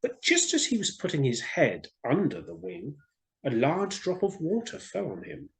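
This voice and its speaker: A man reading a children's story aloud as an expressive storyteller. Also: on the high side, normal speed, vocal fry, low-quality recording.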